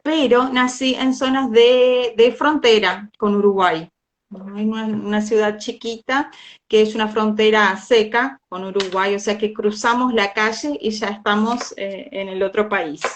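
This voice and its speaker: A woman's voice: mid-range voice, fairly even intonation, measured pace. A woman from Brazil, a native Portuguese speaker, talking as a guest in a casual interview, with frequent laughter and hesitant fillers. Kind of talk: casual conversational storytelling.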